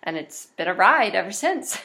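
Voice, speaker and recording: A woman in a casual podcast conversation, speaking haltingly with many fillers and restarts. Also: mid-range voice, big pitch swings, bright, forceful tone.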